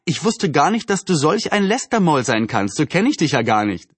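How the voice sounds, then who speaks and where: A man's voice: fairly high pitch, very animated, fairly quick, husky, no echo, pitched above the performer's own voice. A man voicing a teenage boy with a teasing, mock-reproachful manner in a storybook reading.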